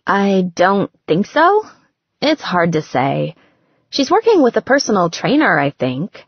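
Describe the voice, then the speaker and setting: A woman voicing an affectionate, sardonic young bride-to-be who frets, in an expressive conversational manner for an audiobook. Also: medium pitch, a little slow, slightly rough, dry recording, muffled recording, heavily acted. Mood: chatty, musing.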